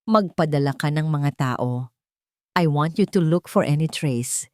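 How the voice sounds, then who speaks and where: A woman's voice: deep voice, unhurried, a little mellow, no room sound, clean studio sound. A woman playing a cold, controlled millionaire husband in a dramatic story, curt and commanding.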